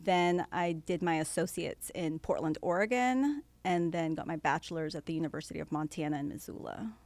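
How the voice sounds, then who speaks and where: A woman's voice: sits low, fairly quick, darkish tone, no room sound. A young woman from Venezuela who grew up in San Francisco, a law student chatting casually in an interview, laughing easily and using fillers. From Venezuela, raised in San Francisco.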